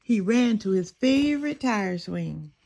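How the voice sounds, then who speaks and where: A woman narrating a children's storybook in an expressive read-aloud style. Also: medium pitch, a little slow, vocal fry.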